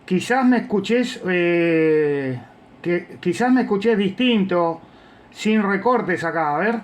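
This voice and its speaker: A man's voice: high-pitched, expressive, slow, background noise. A man with a Buenos Aires accent chatting casually as an amateur radio operator, using radio jargon and call signs. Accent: Buenos Aires Spanish. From Argentina. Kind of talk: casual radio contact while testing equipment.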